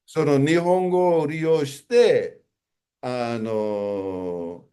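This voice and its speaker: A man's voice: medium pitch, slow, warm tone, clear, resonant tone. A talkative man asking questions and explaining things in a casual multilingual conversation.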